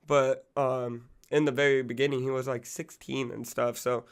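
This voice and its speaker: Young man in his twenties, a little worn-down voice from being sick, loose rambling talk, monotone delivery, smooth low rasp. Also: normal speed. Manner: rambling, loose, full of fillers and profanity. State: recovering from illness, losing his voice. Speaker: music channel host. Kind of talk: casual music commentary.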